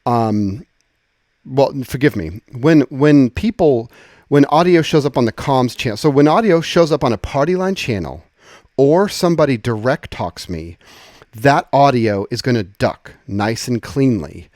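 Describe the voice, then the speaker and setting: A man giving an informal, conversational software tutorial, explaining step by step and often rephrasing as he goes. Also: mid-range voice, expressive, measured pace, no echo, clean studio sound. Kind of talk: casual screen-share tutorial, explanatory.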